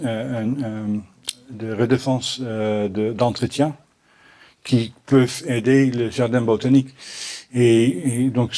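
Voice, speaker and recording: A middle-aged man from the Netherlands with a Dutch accent, answering audience questions at a conference in halting French full of restarts. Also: medium pitch, darkish tone. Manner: halting, many restarts and repeated words. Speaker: botanical garden owner answering questions. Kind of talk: Q&A after a conference talk.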